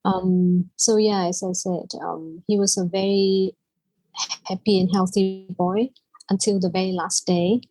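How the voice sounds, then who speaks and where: A woman's voice: medium pitch, flat delivery, a little slow, mellow, no echo, low-quality recording. A woman from Vietnam, a native Vietnamese speaker with a Vietnamese accent, telling her personal story as a podcast guest.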